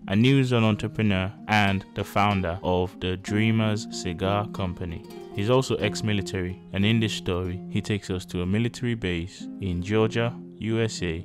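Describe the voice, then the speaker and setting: Male documentary narrator, low register, scripted delivery, prominent plucked guitar bed underneath. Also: fairly even intonation, moderate pace, few pauses. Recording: loud guitar music underneath. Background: plucked guitar music.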